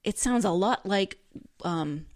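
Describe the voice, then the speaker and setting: A woman's voice: mid-range voice, expressive, slow pace, a little mellow, dry recording, clean studio sound. A woman hosting a podcast, chatting enthusiastically about books in a giggly, rambling way full of asides. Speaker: podcast host. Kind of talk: chatty solo book review talk.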